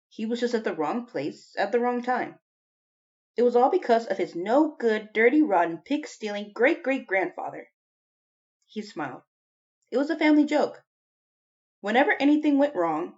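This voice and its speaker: A woman's voice: medium pitch, a little mellow, dull audio. A woman reading a children's novel aloud as an audiobook narrator. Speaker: audiobook reader.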